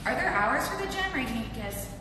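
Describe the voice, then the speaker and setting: A woman's voice: sits high, big pitch swings, quick pace, noisy background. A woman asking casual, hesitant questions on a building tour. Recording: echoey hall from far off.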